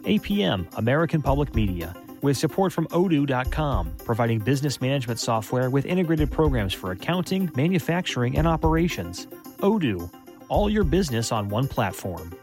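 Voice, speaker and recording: A male announcer reading sponsor credits with a lively, animated pitch and a polished, smooth read. Faint music sits underneath. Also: fairly quick.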